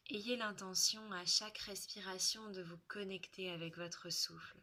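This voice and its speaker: A woman leading a guided relaxation in French, soothing and unhurried, with soft, breathy phrase endings and long pauses. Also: medium pitch, steady tone.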